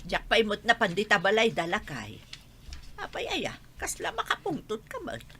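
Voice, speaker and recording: A woman's voice: mid-range voice, wildly swooping pitch, moderate pace, sharp tone, a little hiss or hum, full character voice. A woman acting an older village mother-in-law in a radio drama, chatty, exclaiming and exasperated.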